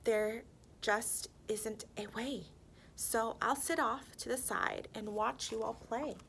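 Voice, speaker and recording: Storybook rock kid, male, gloomy and apologetic, slow sighing delivery, performed by a woman. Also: fairly high pitch, fairly even intonation, gravelly, fairly bright tone, slight background noise, full character voice. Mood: dejected. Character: dejected young male rock who cannot roll. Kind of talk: children's storybook read-aloud.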